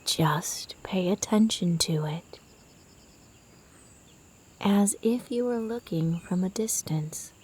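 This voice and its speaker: Female meditation guide: mellow, half-whispered and breathy, gentle, soothing delivery at an unhurried pace. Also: medium pitch. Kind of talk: guided sleep meditation narration.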